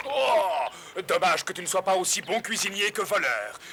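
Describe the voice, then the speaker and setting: A sardonic cartoon bandit chief. The delivery is authoritative and mocking, in a sharp, fairly high voice with faint noise behind. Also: male voice, very animated, moderate pace, heavily acted. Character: bossy, sardonic leader of forest outlaws. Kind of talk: French cartoon dub acting.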